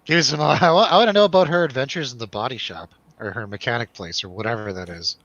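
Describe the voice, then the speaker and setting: Bright, forceful-voiced man hosting casual chat. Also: mid-range voice, fast talker, muffled recording. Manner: joking, teasing. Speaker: host of a film review show. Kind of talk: casual jokey panel chat.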